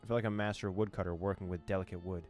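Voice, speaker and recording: A man's voice: low voice, flat delivery, very fast, a little mellow. A man hosting a let's play, commenting casually in slangy asides and reading game text aloud. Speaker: gaming channel host. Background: game music.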